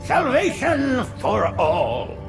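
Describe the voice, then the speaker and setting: An actor playing a grandiose, devout alien prophet in a video game cutscene, with a high, creaky voice and a preachy, ceremonious manner. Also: male voice, huge pitch swings, drawn-out words, heavily acted. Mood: reverent, grand. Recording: music behind the voice.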